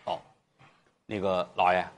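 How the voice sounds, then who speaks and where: A man doing a calm, shrewd adviser. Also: deep voice, measured pace, voice pushed down.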